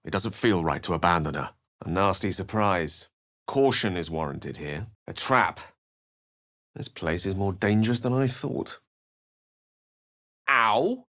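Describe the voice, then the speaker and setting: A curious, scholarly wizard with a deep, low voice. He comments wryly on traps, corpses and magic as he explores, articulate and understated. Game voice-line delivery. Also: pushed and bright. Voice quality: dry wit, wry and articulate. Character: witty, curious male wizard adventurer, scholarly. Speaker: companion wizard character. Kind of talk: video game voice lines.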